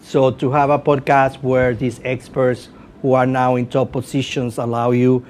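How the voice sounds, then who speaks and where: A middle-aged man with a Venezuelan accent tells his answers as an interview guest. His pitch is average, and he speaks conversationally with informal asides. There is noticeable low rumbling noise in the recording. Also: little pitch movement, normal speed, darkish tone. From Caracas, Venezuela. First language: Spanish. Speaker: guest, professor and podcast host.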